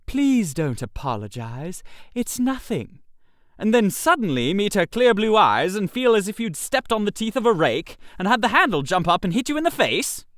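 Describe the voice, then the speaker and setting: A man narrating a comic first-person story in an expressive, theatrical way. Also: sits high, fairly quick, few pauses, no room sound, clean studio sound.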